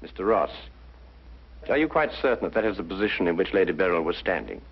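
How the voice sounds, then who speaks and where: An actor playing a courteous but commanding British detective with a precise, probing manner, in a 1950s mystery drama. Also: male voice, low-pitched, big pitch swings, quick pace, slightly rough, full character voice. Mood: measured, firm. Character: keen, courteous, commanding male detective questioning suspects. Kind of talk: 1950s television mystery acting. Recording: dull, muffled 1950s soundtrack.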